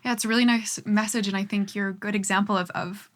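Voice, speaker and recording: A woman's voice: medium pitch, fairly even intonation, quick pace. A woman hosting a podcast interview, asking questions in a conversational way. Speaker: podcast host.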